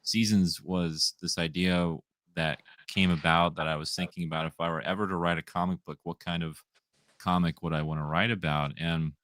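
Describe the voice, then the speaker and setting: A man in his twenties with a deep, radio-style voice, talking easily and meanderingly as a comic writer guest in a casual interview. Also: little pitch movement, normal speed, fairly bright tone, dry recording. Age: 20s. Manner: easygoing, meandering sentences.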